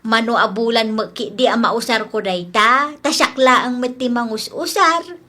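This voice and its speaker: A woman's voice: a little high, a little slow, sharp tone. A woman with an Ilocano accent playing a kindly, easygoing elderly mother-in-law in an audio comedy drama. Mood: mild, placating.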